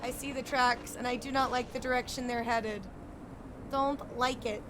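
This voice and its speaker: A woman's voice: a little high, fairly even intonation, normal speed. A woman giving casual, chatty live gameplay commentary, often muttering to herself. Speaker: game streamer. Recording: loud game music behind. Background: game music.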